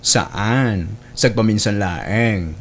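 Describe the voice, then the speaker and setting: A man's voice: lowish voice, extremely slow. A man with an Ilocano Filipino accent playing a polite, plain-spoken office coworker in an audio drama. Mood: polite, matter-of-fact. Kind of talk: Ilocano radio-style drama acting.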